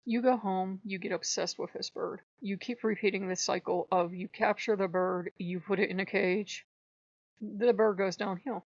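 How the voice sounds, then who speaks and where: A hoarse woman hosting her channel, telling a story in a rambling, casual way and stopping to clear her throat. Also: mid-range voice, steady tone, normal speed, a little mellow, dry recording. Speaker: channel host. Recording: dull lo-fi recording.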